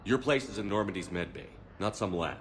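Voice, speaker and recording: A man playing a brisk, deep-voiced starship commander. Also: big-room sound, close to an everyday voice. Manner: brisk, direct orders. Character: male military commander, decisive officer. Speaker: ship's commander. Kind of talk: video game cutscene dialogue.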